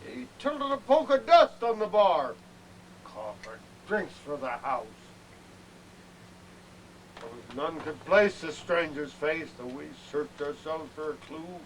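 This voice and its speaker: A man giving a theatrical recitation of a narrative frontier ballad, swelling with emphasis and dropping to hushed lines. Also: fairly high pitch, sing-song, slow pace. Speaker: amateur poetry reciter. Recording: noisy amateur VHS home video.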